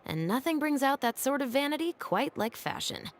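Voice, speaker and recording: An actress playing a dutiful, wary patrol captain in a game, speaking in a dry, measured, skeptical way. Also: female voice, sits high, very animated. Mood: explaining. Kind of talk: game cutscene voice acting. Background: game music.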